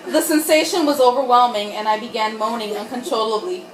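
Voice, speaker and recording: High-voiced tipsy woman reading aloud, roomy sound. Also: fairly quick. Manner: irreverent, giggly, tipsy, breaks off to comment. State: tipsy from wine. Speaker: reader and host of a comic group reading. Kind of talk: dramatic reading of erotic fiction with joking asides. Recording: roomy, distant mic, faint background noise.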